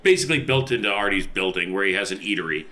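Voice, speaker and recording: Deep, bright-edged man. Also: expressive, quick pace. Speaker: tabletop game player. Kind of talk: casual character introduction and table talk.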